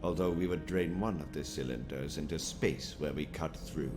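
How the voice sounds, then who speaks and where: An actor playing a calm, calculating alien naval lieutenant who speaks in a measured, formal, commanding way in an audio comic dub. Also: male voice, rumbling low, music in the background.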